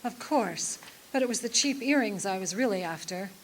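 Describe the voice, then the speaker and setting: An actress playing a brazen, scheming femme fatale in a noir parody radio drama. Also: female voice, mid-range voice, animated, moderate pace, a little hiss or hum, natural voice.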